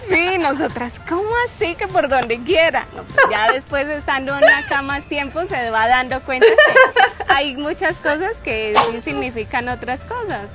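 A young woman from Santander, Colombia, with a Santander accent, telling stories in an outdoor street interview with a forceful, emphatic way of talking. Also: high voice, very animated, normal speed, over loud music. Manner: forceful, emphatic delivery with frequent "¿sí?" tags. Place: outdoor park with trees.

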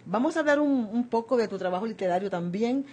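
A woman's voice: fairly high pitch, expressive, quick pace, mellow. A woman hosting a radio program and interviewing in a conversational style. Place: small radio studio, indoors. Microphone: studio microphone on boom arm. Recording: clear background noise, live webcast.

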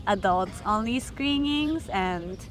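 A woman's voice: medium pitch, takes it slowly. A young woman with a Japanese accent, speaking frankly and casually as a filmmaker guest in an interview, using many fillers. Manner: lively, frank, many fillers like "like" and "you know". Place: festival setting. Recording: noisy ambience behind.

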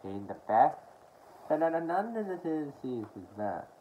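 A young adult man from Australia talking haltingly and with mumbled words in a casual show-and-tell to camera at home. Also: on the high side, very animated. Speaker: collector showing his media haul. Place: small furnished room at home, indoors. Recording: dull lo-fi sound with background noise.

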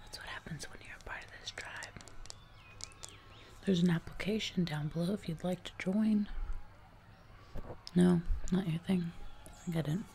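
A young woman playing a hungry, casually menacing jungle giantess in an ASMR roleplay, whispering and murmuring softly, close to the microphone. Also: fairly low pitch. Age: young adult. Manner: slow, breathy whispering mixed with soft murmurs. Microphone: close microphone in front of mouth. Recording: close mic, noticeable noise and clicks.

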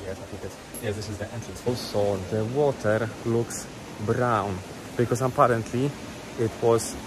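Male travel vlogger, twenties, casual delivery, mid-range pitch, recorded outdoors over loud background sound. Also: background noise. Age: 20s. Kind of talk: casual vlog narration. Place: outdoors on roadsides, by scooter and trail.

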